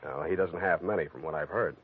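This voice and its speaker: An actor playing a cynical, hard-boiled American private detective in a 1940s radio drama, dry and offhand. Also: male voice, very deep voice, fast talker, slightly rough. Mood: sardonic. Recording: noisy old radio, tinny narrow band.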